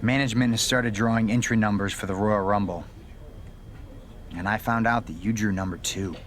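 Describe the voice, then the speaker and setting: An actor playing a confident, scheming veteran wrestler in a video game cutscene, persuasive and conspiratorial, sometimes dropping to a near whisper. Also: male voice, lowish voice, fairly even intonation, normal speed, a bit bright, a little hiss or hum, lightly acted. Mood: regretful.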